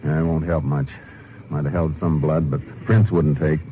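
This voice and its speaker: An American actor playing a calm, shrewd investigator, terse and matter-of-fact, in a 1950s radio police drama. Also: male voice, a bass voice, normal speed, rough recording. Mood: shrewd, businesslike. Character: calm, shrewd male Texas Ranger investigator. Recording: old 1950s radio recording, faint noise.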